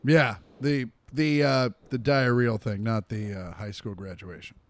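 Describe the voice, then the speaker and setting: A man's voice: mid-range voice, steady tone, unhurried. A man co-hosting a casual comedy podcast, full of crude, playful banter. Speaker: podcast co-host.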